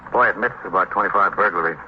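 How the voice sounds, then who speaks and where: A man plays an American police lieutenant in an old radio drama. His voice is very low and he rattles off facts quickly in a terse, clipped way. The sound is dull and lo-fi. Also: lightly acted. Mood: terse, factual. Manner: clipped, matter-of-fact reporting. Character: male police detective lieutenant, terse and businesslike. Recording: muffled old radio recording, faint noise.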